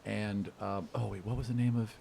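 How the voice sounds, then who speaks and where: A man working as game master, narrating a tabletop game in a casual, halting, descriptive way. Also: fairly low pitch, steady tone, creaky, a little mellow. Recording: faint background noise.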